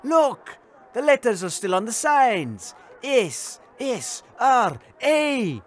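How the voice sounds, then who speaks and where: Slow, swooping theatrical crackpot prophet played by a man. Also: extremely high pitch, sing-song, heavily acted. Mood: explaining dramatically. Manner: grandiose, drawn-out suspenseful delivery. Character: theatrical male crackpot treasure hunter revealing secrets. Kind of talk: comedy audio drama acting.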